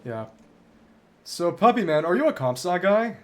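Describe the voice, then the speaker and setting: A man's voice: sits high, very animated, normal speed, soft background music. A man livestreaming a game, thinking aloud in bookish wording as he chats casually with viewers and wanders between topics. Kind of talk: casual gameplay commentary, chatting with viewers.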